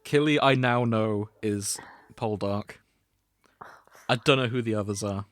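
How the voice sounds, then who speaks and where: A man's voice: lowish voice, unhurried, a bit bright, no echo. A man hosting a podcast with casual, comedic banter. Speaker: podcast host.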